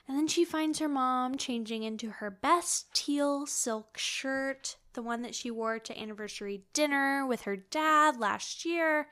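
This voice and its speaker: High-voiced woman chatting through a story recap. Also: smooth. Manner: animated, slangy, jokey. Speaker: podcast co-host recapping a novel. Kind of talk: casual chatty story recap with acted-out quotes.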